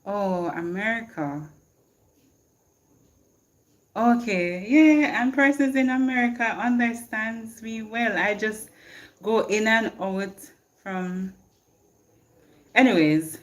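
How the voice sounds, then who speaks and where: A woman's voice: mid-range voice, slow pace, long pauses. A Jamaican woman hosting a live stream, chatting casually and answering viewer comments. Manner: reads viewer comments aloud and answers them, laughs often. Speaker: livestream host. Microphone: phone.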